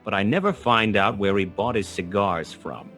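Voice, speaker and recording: An actor playing a wry, hard-boiled private detective, narrating a noir radio drama in a dry, sardonic way. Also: male voice, lowish voice, moderate pace, a bit bright, soft background music, close to an everyday voice. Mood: wry narration.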